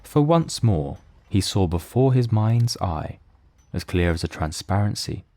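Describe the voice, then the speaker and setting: A man narrating a mystery audio drama in a measured, solemn, literary manner. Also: low-pitched, very animated, dark tone, a little hiss or hum.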